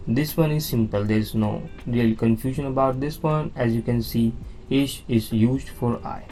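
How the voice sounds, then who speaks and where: Male tutor, unhurried and patient, mid-pitched, faint music bed. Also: warm tone. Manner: patient, repeats words, frequent "now" and "okay?". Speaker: language teacher. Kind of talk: slow beginner lesson explaining on-screen slides.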